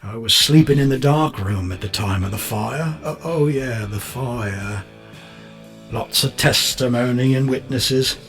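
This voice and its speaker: An actor playing a bitter, unravelling British photographer in an audio drama monologue, rambling and coarse, darkly matter-of-fact. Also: male voice, medium pitch, natural voice. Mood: wry, bleak. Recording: music under the voice.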